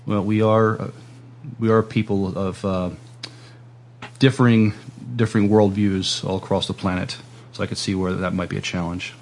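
A man hosting a playful, casual podcast interview, speaking politely and haltingly as he plays along with his guest. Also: deep voice, level tone, a little mellow.

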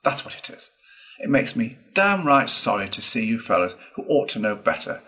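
A man voicing a boastful, excitable gentleman toad in a storybook, declaiming in a pompous, gushing way. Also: medium pitch, voice pushed up, low-quality recording.

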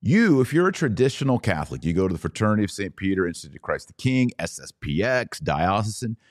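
Low-voiced man in informal explainer mode. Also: very animated, fast talker, no echo, clean studio sound. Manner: conversational, emphatic, repeats key phrases. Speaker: podcast host. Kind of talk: informal explanatory talk on church liturgy.

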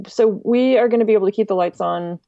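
A woman's voice: mid-range voice, quick pace, creaky, dry recording, highs cut off. A woman speaking casually and informally as an interview guest, with a wry manner. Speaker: interview guest, director of an online music archive.

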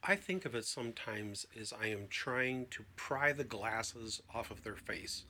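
A man's voice: on the low side, animated, a little slow, sharp tone. A man talking as a therapist guest in a conversation, explaining things emphatically with illustrative examples.

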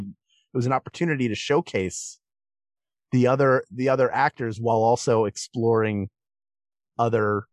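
A man's voice: on the low side, no echo. A man talking as a guest in a casual discussion, explaining things and rephrasing as he goes. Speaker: podcast guest, performer.

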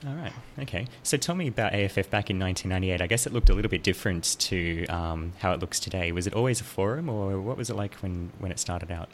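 A man hosting a podcast, asking friendly, brisk interview questions. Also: deep voice, animated. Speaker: podcast host.

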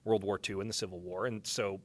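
A man's voice: low-pitched, steady tone, a little fast, no echo. A man hosting a podcast, conducting a casual live interview in an informal, joking way.

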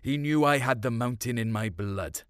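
A man's voice: medium pitch, normal speed, clear, resonant tone, no echo, clean studio sound. A man narrates a short story in the first person, in a colloquial, confiding way.

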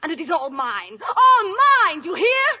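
Melodramatic 1930s radio heiress, bright high voice, theatrical delivery, dull old broadcast sound. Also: female voice, sing-song, moderate pace, a little hiss or hum, exaggerated voice. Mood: dramatic. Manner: theatrical, intense. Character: melodramatic young heiress guarding a fortune.

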